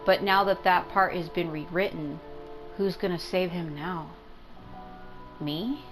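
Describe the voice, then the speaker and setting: A woman's voice: lowish voice, steady tone, measured pace. A woman gaming streamer giving casual, reactive commentary as she plays. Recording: game music behind.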